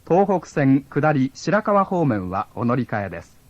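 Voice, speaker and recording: A man's voice: mid-range voice, big pitch swings, fairly quick, no echo. A man reading scripted onboard train announcements in a formal, polite way. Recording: dull lo-fi old cassette tape.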